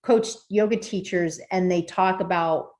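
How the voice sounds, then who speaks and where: A woman's voice: fairly low pitch, measured pace, a little mellow. A woman hosting a podcast interview, speaking in a supportive and reflective way. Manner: supportive, reflective, with frequent short affirmations. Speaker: podcast host. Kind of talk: casual interview conversation.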